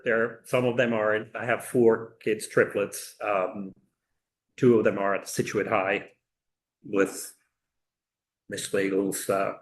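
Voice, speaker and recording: A middle-aged man with a Swiss accent introducing himself informally at a public meeting, in a casual, loosely phrased way. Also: fairly low pitch. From Switzerland. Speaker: committee applicant. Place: indoor public meeting room.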